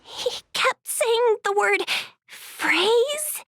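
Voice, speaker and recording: An actress voicing a hot-headed, wounded young woman in a video game, halting and emotional as she talks. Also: extremely high pitch, lively intonation, bright, forceful tone, no echo, clean studio sound, heavily acted. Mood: upset. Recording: music behind the voice.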